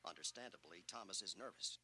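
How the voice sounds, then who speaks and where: A man's voice: deep voice, fairly quick, creaky. A man giving dramatic scripted narration in the style of a 1980s children's adventure record. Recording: loud music under narration.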